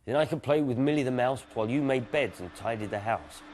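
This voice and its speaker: A man's voice: mid-range voice, animated, a little fast, darkish tone, a little hiss or hum, natural voice. A man voicing a playful, sleepy father in a children's picture-book story, teasing and childlike in his eagerness. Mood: musing.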